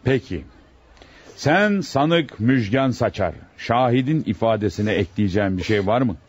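An actor playing a stern, fatherly presiding judge in a radio drama, speaking formally, deliberately and with authority. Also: lowish voice, animated, husky. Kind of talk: Turkish radio theatre acting. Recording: muffled, dry lo-fi radio recording.

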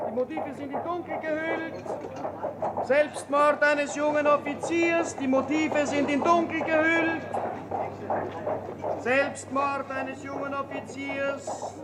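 An actor playing a newspaper crier in a radio drama, chanting a headline over and over like an imagined voice. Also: male voice, very high-pitched, little pitch movement, fairly bright tone, heavily acted. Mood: eerie, chanting. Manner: repetitive, drawn-out chanting.

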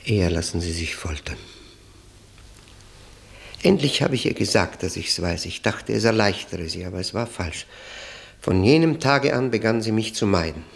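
Radio drama casting: broken, haunted man, low creaky voice, weary halting confessions. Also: lively intonation. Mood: weary, confessing. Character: broken, brooding man confessing a tormented love. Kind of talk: 1960s German radio play acting.

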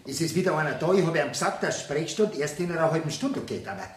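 An actor playing a gruff, money-minded innkeeper in a radio play, speaking with a Bavarian accent. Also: male voice, fairly high pitch, fast talker, natural voice. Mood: informing. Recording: noisy radio recording.

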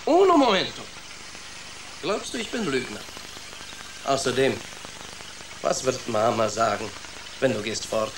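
An actor playing a boastful but timid vagabond rat with a Spanish accent and theatrical broken grammar in a children's radio play. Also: male voice, mid-range voice, big pitch swings, measured pace, pauses often, noisy background. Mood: indignant, evasive.

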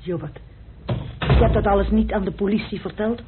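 A woman's voice: mid-range voice, fairly quick, natural voice. An actress playing a concerned, questioning woman in a radio play. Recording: muffled old radio recording with hiss.

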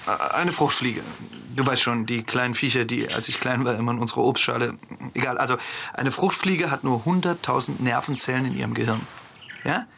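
A young male programmer in a radio drama, dry and sure of himself. His voice is middling in pitch with a gravelly creak, over faint noise. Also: brisk, fairly bright tone. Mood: weary, patient. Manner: matter-of-fact, cocky, explaining. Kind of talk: German radio drama acting.

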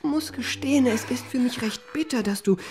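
Courteous, smooth young lift boy. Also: extremely high pitch, moderate pace. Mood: tender, wounded. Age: young adult. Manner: smooth, formal, elaborately polite. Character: courteous, flattering twenty-year-old male lift boy, quietly cocky. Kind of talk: German radio play acting.

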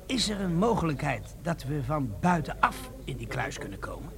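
An actor playing a curious, eager schemer who is plotting a robbery in a Dutch radio play. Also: male voice, fairly high pitch, big pitch swings, normal speed, crowd noise. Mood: scheming, persuasive.

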